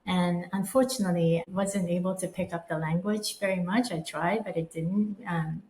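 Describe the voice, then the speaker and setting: A woman's voice: on the low side, steady tone, moderate pace, a little mellow. Woman, thoughtful podcast guest, roomy echo, faint noise behind. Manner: thoughtful, frequent affirming murmurs. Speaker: guest, design firm co-managing director. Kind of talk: reflective podcast conversation. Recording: echoey room with faint background noise.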